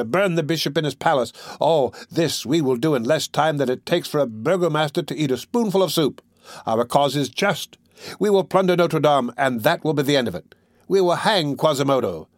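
A man's voice: mid-range voice, no echo, studio quality, close to an everyday voice. Man playing a brash, boastful young vagabond. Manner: declamatory, swaggering, exclamatory. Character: brash, boastful young male vagabond in armor, drunkenly jovial. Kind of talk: storybook character voice in an audiobook.

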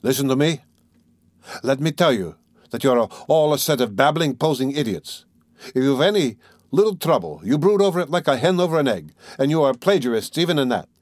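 A man reading an audiobook as a hot-tempered, bossy young man who scolds loudly and fires off demands. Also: medium pitch, expressive, no echo, studio quality, natural voice. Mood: furious ranting. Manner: loud scolding, rapid-fire demands. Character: hot-tempered, blustering young male friend, bossy and loud. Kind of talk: dramatised audiobook dialogue.

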